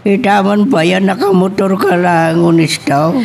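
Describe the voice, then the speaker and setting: A man's voice: high voice, steady tone, a little slow, gravelly, a little hiss or hum. An actor playing a fatherly man in a radio drama, with a Filipino accent. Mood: cautioning.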